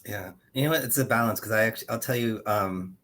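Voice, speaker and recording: A man hosting a video-call panel discussion, relaxed and conversational with some hesitations. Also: fairly low pitch, fast, slight background noise. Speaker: webinar host.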